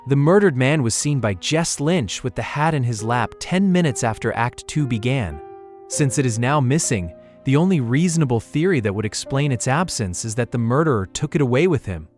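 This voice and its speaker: A man playing a calm, bookish young amateur detective with pedantic, deliberate phrasing in an audiobook mystery drama. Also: mid-range voice, soft background music, close to an everyday voice. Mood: reasoning.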